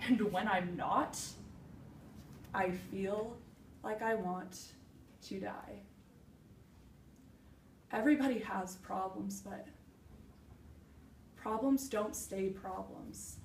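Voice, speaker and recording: A grieving woman searches herself aloud in a stage monologue, acted by a young woman. She is raw yet resolute, speaking slowly with weighted pauses. The sound is roomy and distant from the microphone. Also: mid-range voice. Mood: defiant, pained, then reflective. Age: young adult. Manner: slow, deliberate, emphatic phrases with heavy pauses. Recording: roomy echo, distant mic, faint noise.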